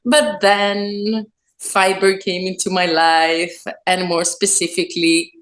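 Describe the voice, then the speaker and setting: A woman's voice: lowish voice, level tone, slow pace, fairly bright tone. A woman from Greece, a yarn dyer, chatting as a guest in a casual video-call interview from her studio, with many fillers and restarts. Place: home studio.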